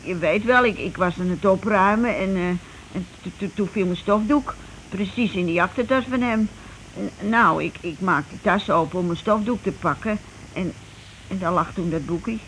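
An actress playing a timid, flustered housewife in a Dutch radio play, halting and repeating her words. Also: medium pitch, fairly bright tone, lightly acted. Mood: nervous confiding. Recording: noisy old radio play recording.

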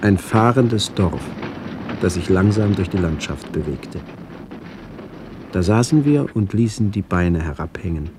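A man reading scripted literary memories for a radio documentary in measured, fragmentary phrases. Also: sits low, lively intonation, noisy background. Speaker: narrator recalling childhood memories.

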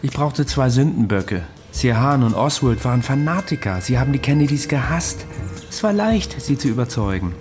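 Male actor as cryptic immortal assassin, radio drama, calm, assured, faintly mocking, music behind. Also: mid-range voice, normal speed, lightly acted. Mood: cold, boastful. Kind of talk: German radio drama acting.

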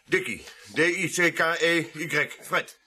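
An actor playing an agitated, insistent small farmer in a radio play, speaking with indignation. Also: male voice, a little high, unhurried, pushed and bright, slightly rough, exaggerated voice. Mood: upset, urgent. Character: agitated small farmer reporting his cow's death as a crime.

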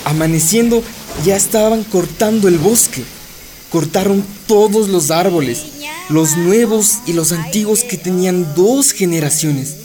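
A woman playing an anguished Amazonian villager, telling her story gravely and with grievance in a radio drama. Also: lowish voice, unhurried, mellow, slight background noise, close to an everyday voice.

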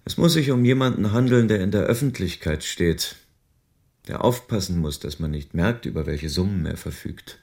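Low-voiced, world-weary private detective. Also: male voice, expressive, normal speed, vocal fry, darkish tone. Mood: explaining. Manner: patient, explanatory. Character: sober, world-weary male private detective in Mexico City. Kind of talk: radio crime drama dialogue.

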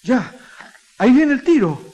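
A man's voice: very high voice, sing-song, measured pace, warm tone, breathy edge, highs cut off, full character voice. A man playing a proud, carefree young miner in a radio drama, with a Chilean accent and colloquial, exclamatory talk. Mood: brisk warning.

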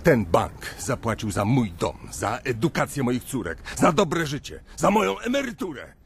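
A man's voice: mid-range voice, big pitch swings, normal speed, gravelly, slight background noise. An actor playing a menacing older male banker who bullies and mocks a young man in a radio drama. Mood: scornful. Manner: scornful, bullying, mocking.

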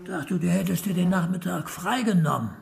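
An actor playing a dry, drowsy, tight-fisted funeral home boss in a German radio crime drama, grumbling. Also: male voice, high voice, normal speed, creaky, husky, full character voice. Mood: dry, suspicious.

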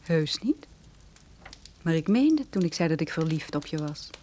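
Een vrouw die in een hoorspel een koele, amorele bendeleidster speelt, verleidelijk en hard. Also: female voice, sits low, very animated, a little slow, dark tone, a little hiss or hum. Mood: cool, seductive. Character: cool, amoral woman, secret leader of a drug gang. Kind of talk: radio drama acting.

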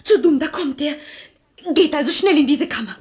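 An actress playing a saucy, quick-witted maidservant in a verse radio play, pert and theatrical. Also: female voice, high-pitched, animated. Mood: bossy scheming. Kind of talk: German radio play acting in rhymed verse.

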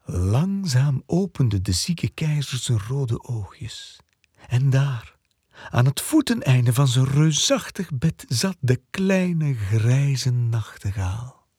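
A narrator with a breathy, husky voice telling a children's story in a dramatic, expressive way. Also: mid-range voice, takes it slowly, no echo, clean studio sound. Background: faint music.

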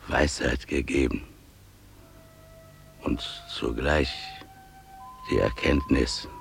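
Very deep, gravelly, pious old-world father. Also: heavily acted. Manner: solemn, weighty declamation with long pauses. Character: humble, pious father and animal keeper, solemnly pleading. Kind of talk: German radio drama acting.

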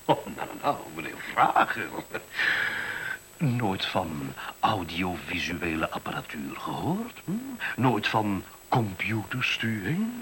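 On a slightly hissy old radio play, a smug technocratic school director. His bright, forceful voice swings widely in pitch as he lectures glibly and condescendingly, interrupting himself. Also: poor audio. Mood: patronising, breezy. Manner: condescending, glib, self-interrupting. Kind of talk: radio drama acting, conversational monologue.

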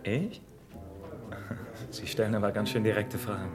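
A man's voice: on the low side, steady tone, unhurried, music in the background, close to an everyday voice. An actor playing a matey but evasive club barman with a shady past in a radio crime drama, talking colloquially. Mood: cagey. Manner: colloquial, cagey. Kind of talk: German radio crime play acting, colloquial.

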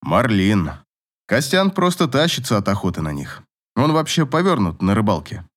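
The domineering man in a late-night romance audiobook, as a male narrator acts him, pinning his beloved down with low, insistent, authoritative orders. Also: sing-song, normal speed, a bit bright. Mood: teasing. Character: possessive, domineering male lover, commanding and evasive.

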